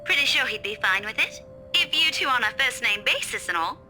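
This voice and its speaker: A woman's voice: fairly high pitch, animated, fairly quick, bright, forceful tone, soft background music. A woman playing a friendly, awkward young schoolteacher in a visual novel, speaking in informal, clipped, hesitant phrases.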